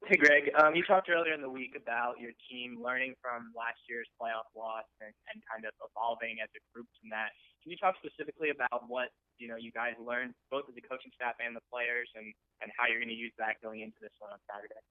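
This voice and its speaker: A man with a medium-pitched voice asks a conversational question as a reporter. His voice comes through a dry, narrow telephone line. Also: steady tone, brisk, dry recording.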